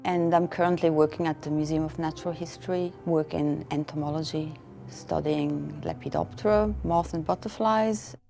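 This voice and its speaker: Low gravelly woman from Switzerland. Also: fairly even intonation, moderate pace, dark tone, soft background music. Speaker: museum entomology collections manager. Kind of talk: documentary interview.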